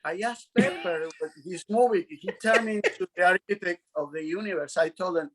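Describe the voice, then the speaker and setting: A middle-aged man being interviewed, talking frankly in a halting, self-conscious way. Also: high-pitched, noisy background. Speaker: interview guest. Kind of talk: casual interview chat.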